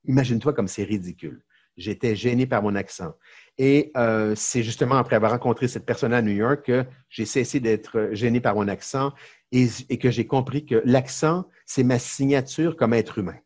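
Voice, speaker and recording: A middle-aged man with a Québécois French accent, a lawyer and entrepreneur chatting as a podcast guest, telling lively stories with many fillers and restarts. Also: mid-range voice, normal speed. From Quebec, Canada. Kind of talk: casual interview chat.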